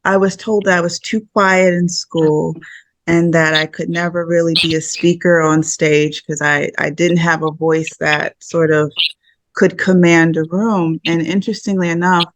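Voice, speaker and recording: Soft calm speaker, soothing way of talking, dry close sound. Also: mid-range voice, a little slow, dry recording. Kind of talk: casual video chat.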